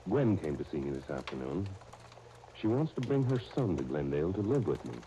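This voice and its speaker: An actor playing a mature, thoughtful gentleman in a 1930s radio soap opera, measured and reflective. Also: a bass voice, big pitch swings. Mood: concerned. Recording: noisy 1939 radio disc recording.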